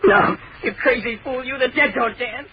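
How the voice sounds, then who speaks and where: Shrill, panicky man, off mic. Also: moderate pace, breathy edge, distant mic, heavily acted. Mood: irritated, alarmed. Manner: shrill, impatient outbursts. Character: panicky, irritable male traveller, scornful and overwrought. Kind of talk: 1930s-40s radio horror acting. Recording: muffled, noisy old radio, off mic.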